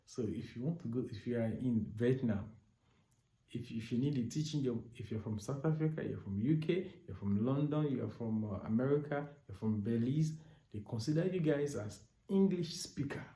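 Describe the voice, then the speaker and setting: A Nigerian man with a mellow, warm tone talks with eager energy and stacks up repeated words. His voice sounds roomy, with a clear echo around it. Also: medium pitch, moderate pace. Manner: repetitive, enthusiastic, stacks repeated words and restarts. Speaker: recruitment agent. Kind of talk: informal advice vlog. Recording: echoey room.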